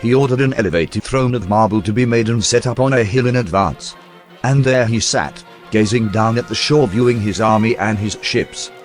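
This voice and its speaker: A man reading a historical account aloud in a measured, storytelling documentary narration. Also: on the low side, soft background music. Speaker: reader of historical text.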